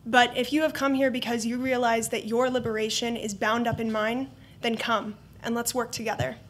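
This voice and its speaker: A woman's voice: on the high side, flat delivery, moderate pace, a little hiss or hum. A young woman from Idaho, a college senior, giving an earnest prepared speech at a campus event. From Boise, Idaho. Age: young adult. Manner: earnest, reading from prepared remarks. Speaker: student speaker.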